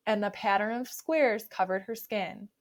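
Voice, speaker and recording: A woman narrating a children's storybook aloud with expressive, clearly phrased delivery. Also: mid-range voice, unhurried. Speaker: story reader.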